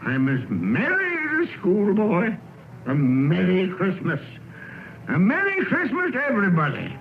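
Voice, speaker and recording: Generous old ex-miser in 1930s radio drama: higher-pitched, theatrical, thin phone-like broadcast sound. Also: male voice, wildly swooping pitch, a little slow, a little hiss or hum, heavily acted. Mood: giddy joy. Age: older. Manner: theatrical, swooping between cries, chuckles and murmurs. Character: old miser turned overjoyed, generous employer. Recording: tinny band-limited old radio broadcast.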